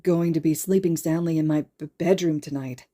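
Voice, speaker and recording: A woman voicing a timid, gentle teenage schoolboy in a story, with a hesitant stammer. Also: deep voice, steady tone, normal speed. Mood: nervous, kind.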